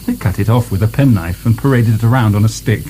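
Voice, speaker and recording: Very soft dark male tone, lowish pitch, weary French nobleman in prison, acted by a man, wry, musing, radio play. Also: quick pace, a little hiss or hum, close to an everyday voice. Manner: hesitant, musing, wryly ironic. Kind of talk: radio drama acting.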